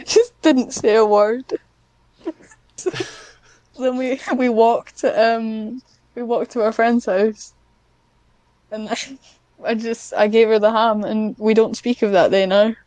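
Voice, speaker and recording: A woman's voice: on the high side, darkish tone, no echo. A young woman with a British accent, chatting casually as a podcast guest and telling stories in a rambling, giggly way. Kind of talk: casual chat.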